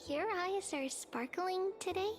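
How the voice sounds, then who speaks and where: A woman's voice: extremely high pitch, unhurried. A woman voicing a small, sweet, eager female companion in a fantasy video game, with an earnest, childlike delivery. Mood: gentle, caring. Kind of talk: scripted game voice acting.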